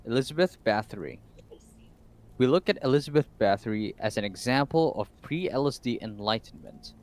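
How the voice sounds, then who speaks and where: A man's voice: medium pitch, lively intonation, moderate pace, darkish tone. Playful, swearing man reading aloud. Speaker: co-host reading a story aloud. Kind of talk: casual chat and reading aloud on a stream.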